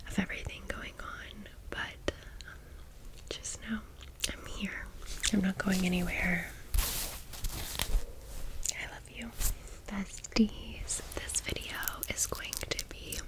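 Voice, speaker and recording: A woman hosting ASMR in a gentle whisper, drawing out and repeating words and phrases. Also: medium pitch, poor audio. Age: 30s. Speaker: ASMR video host.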